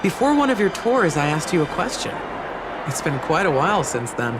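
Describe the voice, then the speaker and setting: A woman's voice: low voice, sing-song, fairly quick, noisy background. A woman playing a suave, poised and worldly lady with a teasing manner in a video game. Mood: teasing, knowing. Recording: loud music behind the voice.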